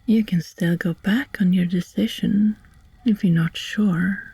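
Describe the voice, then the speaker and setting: A gentle sorceress, played by a woman, murmurs in a very breathy half-whisper with a mellow, soft-edged tone. She is calm and soothing, leaving long pauses as in an ASMR hypnosis roleplay. The sound is dry and close. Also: medium pitch, no echo. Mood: gentle, reassuring. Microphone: close, dry microphone.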